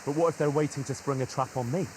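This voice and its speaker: A man's voice: medium pitch, steady tone, fast talker, a little mellow, slight background noise, close to an everyday voice, low-quality recording. An actor playing a blunt, wry young medieval man in naturalistic video game acting. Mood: businesslike.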